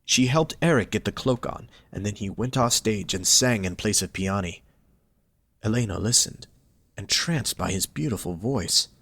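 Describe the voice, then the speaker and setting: A man's voice: fairly low pitch, lively intonation, moderate pace, gravelly, fairly bright tone, no room sound. A man reading scripted story narration as the narrator of an audio drama.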